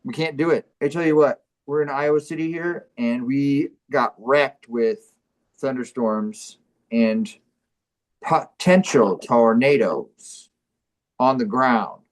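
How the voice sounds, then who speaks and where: A man co-hosting a live comedy show over an online video call, bantering casually in a deadpan, mock-serious way. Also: mid-range voice, takes it slowly, low-quality recording.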